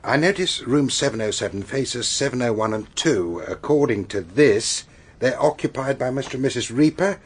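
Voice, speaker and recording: An actor playing a sceptical, businesslike British police superintendent in a radio drama, questioning in a dry, measured way. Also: male voice, medium pitch, animated, slightly rough.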